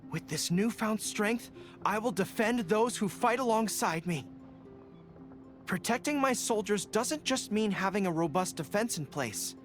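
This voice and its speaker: A man's voice: high-pitched, animated, measured pace, faint music behind. An actor playing an earnest young male army general in a video game, formal, dutiful and easily embarrassed. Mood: earnest, resolute. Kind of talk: video game character voice lines.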